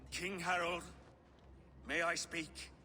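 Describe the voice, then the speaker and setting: An actor playing a weary, aging Norse king and father, speaking gravely and formally in a video game drama. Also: a little high, level tone, slow pace, sharp tone, a little hiss or hum, exaggerated voice. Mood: solemn. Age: older. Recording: music behind.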